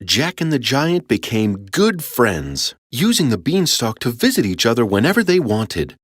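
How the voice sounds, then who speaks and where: Low-voiced, expressive woman storyteller. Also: measured pace, no room sound, studio quality. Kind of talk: expressive children's storybook narration.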